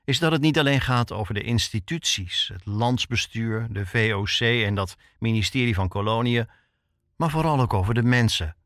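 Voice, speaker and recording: A man narrating a scripted documentary podcast as its reporter, telling the story in short, measured phrases. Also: medium pitch, expressive, fairly bright tone, dry recording, clean studio sound.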